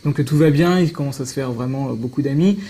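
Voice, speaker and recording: A man's voice: medium pitch, fast talker, dark tone, breathy edge. A man explaining things casually as a guest in an interview.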